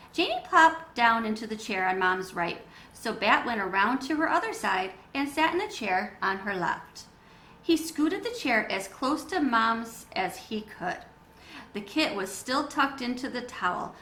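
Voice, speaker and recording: Animated, high-voiced woman reading to children. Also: unhurried. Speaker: children's read-aloud reader. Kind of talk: expressive storybook narration and explanation for children. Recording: roomy echo with background noise.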